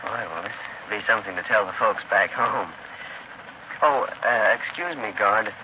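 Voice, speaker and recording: An actor playing a timid, polite out-of-town visitor who stammers and hesitates, in 1930s radio thriller style on a noisy old broadcast. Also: male voice, lowish voice, normal speed, muffled recording, natural voice. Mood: impressed, curious.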